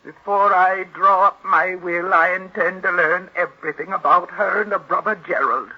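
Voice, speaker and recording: Woman acting a husky, stubborn old rich invalid. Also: on the low side, normal speed, natural voice. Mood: cool, appraising. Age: older. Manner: imperious, curt, suspicious. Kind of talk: 1940s radio acting. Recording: muffled vintage radio drama recording.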